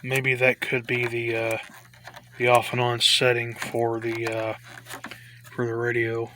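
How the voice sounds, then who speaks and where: A man giving a casual, tentative show-and-tell commentary in a halting way, like a hobbyist vlogger. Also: mid-range voice, monotone, noisy background.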